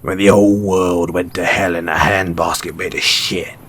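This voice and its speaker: A man's voice: sits low, steady tone, fairly bright tone, a little hiss or hum. A man playing a mysterious, wry and cynical wasteland merchant in a post-apocalyptic audio roleplay, speaking colloquially and knowingly straight to the listener. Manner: unhurried, colloquial, knowing, with pointed pauses.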